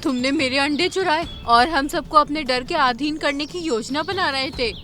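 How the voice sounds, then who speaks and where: A clever, brave female bird from a cartoon, voiced by a woman. Her voice is high and forceful, and she speaks in a firm, earnest, commanding way. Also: normal speed. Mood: accusing.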